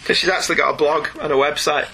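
A man's voice: a little high, steady tone, fast, creaky, sharp tone. A man chatting casually as co-host of a comic-book review podcast, opinionated and wry. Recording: music behind the voice. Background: music.

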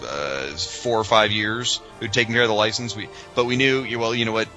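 A man co-hosting a podcast, chatting casually and informally like an animated fan. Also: on the low side, fairly quick, soft background music, low-quality recording. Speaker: podcast co-host.

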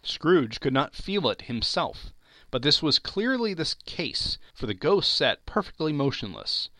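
Theatrical male narrator with animated delivery. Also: fairly high pitch, a little slow, no room sound. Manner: theatrical, expressive. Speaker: podcast narrator. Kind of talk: dramatic audiobook-style narration of a classic tale.

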